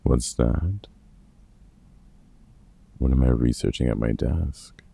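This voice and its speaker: Very deep, gravelly vampire hunter played by a man. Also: dark tone, full character voice. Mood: evasive, hesitant. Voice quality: gravelly, husky low voice. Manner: casual, teasing, sweary, halting. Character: gruff, protective older male vampire hunter mentor, dryly fond. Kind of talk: comfort ASMR audio roleplay acting.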